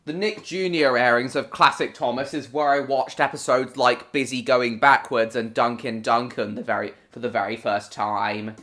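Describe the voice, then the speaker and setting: Bright-voiced male live streamer. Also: medium pitch, fairly even intonation, moderate pace, hardly any pauses. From Hong Kong. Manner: answers viewers by name, rambling and repeating himself; bursts into swearing at game mishaps. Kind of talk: casual live-stream chat while gaming.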